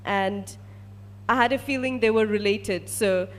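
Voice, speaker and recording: A woman's voice: medium pitch, unhurried. A woman from Malaysia, a research scientist, telling a personal story to an audience as a testimonial.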